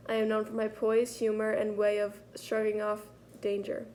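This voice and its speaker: A woman's voice: mid-range voice, monotone, moderate pace, a little mellow, slight background noise, lightly acted. A woman playing a poised French spymaster. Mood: composed. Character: poised, daring female French spy chief. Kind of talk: school project role-play interview.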